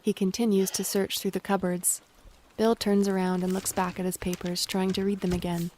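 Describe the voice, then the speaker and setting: A woman's voice: mid-range voice, level tone, moderate pace, warm tone, natural voice. A woman narrating an audio drama, reading out scripted stage directions.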